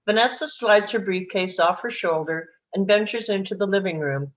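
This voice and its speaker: A woman's voice: medium pitch, normal speed, dull audio. A woman narrator reading scripted stage directions for a screenplay.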